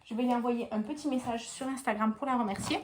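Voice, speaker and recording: A woman's voice: fairly high pitch, fast talker, noisy background. A young woman with a stuffy nose from a cold, chatting casually in a meandering beauty vlog at home, full of hesitations. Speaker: beauty vlogger.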